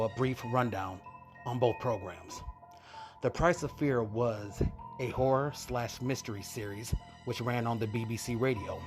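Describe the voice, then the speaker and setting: A man introduces a radio program in a casual hosting style. His pitch sits in the middle range, and music plays clearly behind him. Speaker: host of an old radio horror show.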